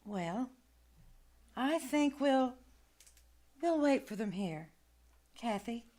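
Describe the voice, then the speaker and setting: Woman as motherly radio-play nanny: highish voice, kindly, fretful, coaxing. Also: animated, very slow, warm tone, full character voice. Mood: uneasy, soothing. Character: kindly, fretful nanny soothing a little girl. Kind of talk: old-time radio drama acting, live on stage.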